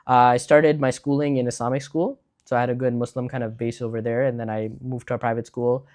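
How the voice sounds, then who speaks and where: A young man in his twenties talks at a brisk, hurried clip, as a guest on a podcast. His speech is relaxed and casual, full of likes, uhs and little restarts. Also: mid-range voice. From Canada. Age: 20s. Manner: relaxed, filler-heavy, with frequent likes and restarts. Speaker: podcast guest, food blogger. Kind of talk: casual conversational interview.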